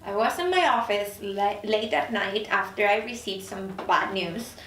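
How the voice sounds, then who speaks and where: A woman's voice: mid-range voice, a little slow, a bit bright, slight background noise, natural voice. A woman playing a sceptical, questioning newly dead woman at a script table read. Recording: echoey room, distant mic.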